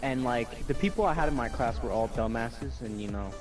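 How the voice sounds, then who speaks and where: A man's voice: mid-range voice, expressive, brisk, over music. A young man casually telling anecdotes to a friend, rambling, slangy and full of swearing. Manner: slangy, profane, loose and rambling.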